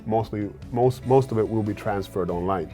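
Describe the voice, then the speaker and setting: Low-voiced earnest man in his forties. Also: warm tone, faint music behind. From Sweden. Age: 40s. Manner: earnest, fills pauses with "uh" and repeats words while thinking. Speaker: startup founder, guest speaker. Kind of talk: fireside chat interview. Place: event stage at a fireside chat.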